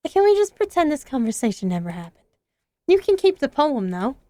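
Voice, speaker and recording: A woman voicing a shy, intense teenage schoolgirl, soft and hesitant, reading game dialogue aloud. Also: sits high, sing-song, fairly quick, no echo.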